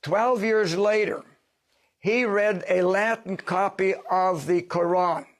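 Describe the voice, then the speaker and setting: A man's voice: sits high, fairly even intonation, slow. An older man answering interview questions as a sociologist, emphatic and lecturing, asking himself rhetorical questions.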